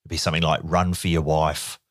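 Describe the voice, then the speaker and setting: A man, co-hosting a podcast and telling comic stories in an animated way, slipping into voices. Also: a bass voice, brisk, no room sound, studio quality. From New Zealand. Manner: animated storyteller who slips into voices. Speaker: podcast co-host. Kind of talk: casual chat, comic anecdotes.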